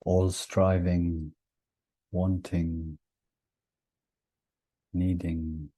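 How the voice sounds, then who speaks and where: A man leading a guided meditation in a gentle, hushed manner, leaving long pauses between short phrases. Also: low voice, level tone, no room sound.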